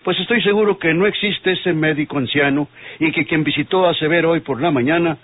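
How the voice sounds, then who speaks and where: An actor playing a deliberate, authoritative police inspector in an old radio soap. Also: male voice, on the high side, close to an everyday voice. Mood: measured. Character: firm, measured police inspector. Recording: tinny band-limited old radio drama.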